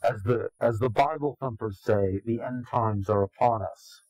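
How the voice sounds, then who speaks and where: A man giving an interview in a slow, grave, deliberate way, pausing often between phrases. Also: mid-range voice, darkish tone, dry recording, low-quality recording. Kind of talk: ominous recorded interview.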